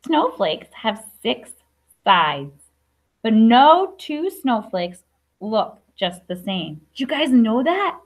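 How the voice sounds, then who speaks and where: A woman's voice: on the high side, big pitch swings, takes it slowly. A woman around her thirties, a teacher reading aloud to young children, enunciating and adding chatty questions to her listeners. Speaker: primary school teacher. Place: indoor classroom.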